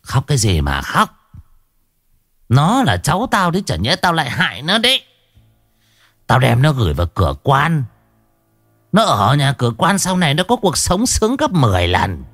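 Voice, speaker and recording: A man's voice: mid-range voice, wildly swooping pitch, moderate pace, long pauses, a bit bright, no echo, clean studio sound, voice pushed up. A man voicing a cruel old village mother-in-law who scolds with scorn and cackles slyly. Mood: scolding.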